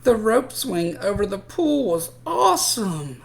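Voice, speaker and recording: Man putting on an excitable, high-pitched young schoolkid. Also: vocal fry, a little hiss or hum, heavily acted. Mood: excited. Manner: eager, exclaiming, drawn-out calls.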